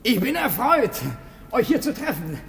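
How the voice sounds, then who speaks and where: An actor playing a scheming, flattering parasite servant in a theatrical, wheedling radio play style. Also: male voice, very high voice, normal speed, exaggerated voice. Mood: earnest persuasion. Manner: theatrical, wheedling, conspiratorial asides. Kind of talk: classic German radio play acting. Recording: noisy old radio play recording.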